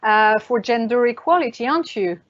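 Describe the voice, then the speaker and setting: A woman hosting an interview, encouraging and effusive toward her guests, with slightly halting questions. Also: on the high side, expressive, dry recording. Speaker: interviewer, host. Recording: dry, dull lo-fi audio.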